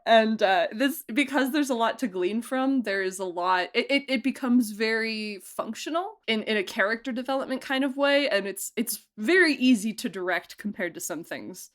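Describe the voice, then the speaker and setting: A young woman producer and director being interviewed, talking with enthusiasm, laughing often and speaking in quick bursts. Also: on the high side. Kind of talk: podcast interview.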